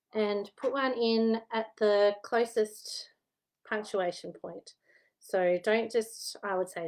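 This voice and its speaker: A woman's voice: medium pitch, darkish tone. Chatty Australian woman vlogging from home. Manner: chatty, rambling, laughs at herself. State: slightly losing her voice. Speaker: historian giving study tips. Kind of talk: casual chatty vlog advice.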